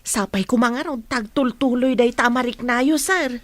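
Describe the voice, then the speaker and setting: A woman's voice: fairly high pitch, unhurried, dry recording, lightly acted. A woman with an Ilocano accent playing a deferential, caring household aide in an audio drama. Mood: warm, respectful. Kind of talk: radio-style Filipino drama dialogue.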